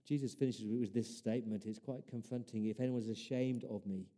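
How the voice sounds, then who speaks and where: A man's voice: on the low side, steady tone, a little fast, warm tone. A man preaching a sermon as pastor, earnest, building his points through repeated rhetorical questions.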